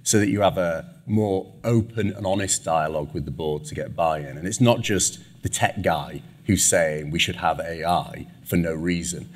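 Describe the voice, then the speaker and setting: A man's voice: deep voice, moderate pace, few pauses. A British man speaking on a conference panel before a live audience, chatty and self-deprecating, with many fillers. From United Kingdom. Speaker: panelist, chief digital officer.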